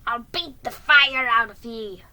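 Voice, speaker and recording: A woman voicing an angry, bullying man from a storybook, shouting threats in old-fashioned rustic wording. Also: on the high side, a little slow. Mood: enraged. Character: angry bullying man, rough horse owner with a whip. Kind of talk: storybook character voice.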